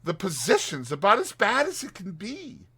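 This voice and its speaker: A man's voice: high voice, huge pitch swings, normal speed, sharp tone, slightly rough, voice pushed up, full character voice. Man doing an eager, homely storybook mole. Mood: grumpy. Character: eager, good-hearted, grumbling male mole of storybook.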